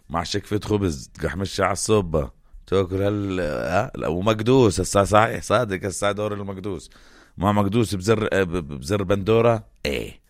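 A man's voice: lowish voice, no echo, studio quality. A man with a Jordanian accent hosting a casual radio phone-in, playful, interjecting and often repeating himself. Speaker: radio host taking calls on a morning show.

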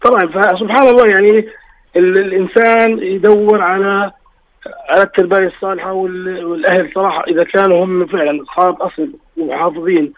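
A married man phones in, his voice a little tired, and tells a pious personal story. He talks high and fast. The line sounds dull and lo-fi. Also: fairly even intonation. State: slightly tired voice. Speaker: caller.

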